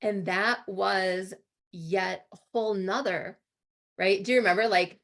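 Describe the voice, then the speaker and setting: Slightly halting, hoarse woman co-hosting. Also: mid-range voice, steady tone, a bit bright. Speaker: co-host and interviewer. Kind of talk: conversational interview. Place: remote video call.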